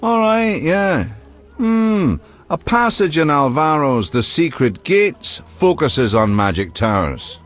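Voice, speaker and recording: A man's voice: fairly high pitch, sing-song, slow pace. An actor playing a chatty, helpful book trader in a video game, musing as he talks.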